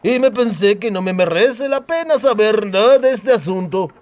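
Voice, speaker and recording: A man's voice: high voice, moderate pace, darkish tone, voice pushed up, natural voice. A man voicing a malicious, greedy male dwarf with a sly, needling, slangy way of talking. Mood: sly, menacing.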